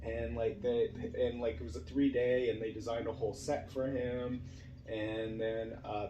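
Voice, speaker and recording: Mellow man chatting in an echoey room. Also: medium pitch. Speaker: podcast host and actor. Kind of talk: casual chat. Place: room in a home. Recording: roomy echo, faint background noise.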